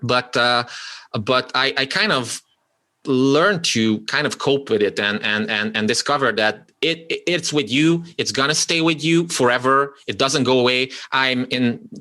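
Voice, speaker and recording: Bright-voiced Hungarian-speaking man from Romania. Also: mid-range voice. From Romania (Transylvania). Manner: halting, many restarts and fillers. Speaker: podcast guest, copywriter. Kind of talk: casual interview talk.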